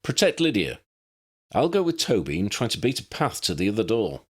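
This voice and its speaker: A man's voice: lowish voice, wildly swooping pitch, brisk, slightly rough, voice pushed up, full character voice. Man acting an urgent young male hero. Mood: urgent, commanding. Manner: urgent, commanding, half-shouting.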